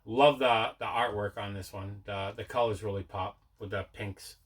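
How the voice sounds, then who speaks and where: A man's voice: fairly low pitch, steady tone, moderate pace, husky. A man hosting a collecting show, chatting casually as he shows off what he picked up. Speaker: host of a collecting video show. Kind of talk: casual unboxing chat.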